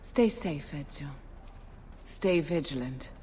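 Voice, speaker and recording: An actress playing a calm, worldly woman giving guarded counsel in a video game drama. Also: sits low, takes it slowly, slight background noise. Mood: solemn.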